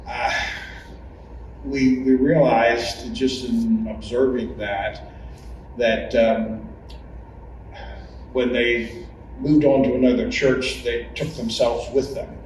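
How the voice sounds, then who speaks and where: Male, low-pitched, very slow and reflective, halting delivery, interview testimony in a heavily reverberant room. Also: darkish tone. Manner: halting, measured, pausing mid-sentence. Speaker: longtime church member. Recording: very echoey room, distant mic, noisy.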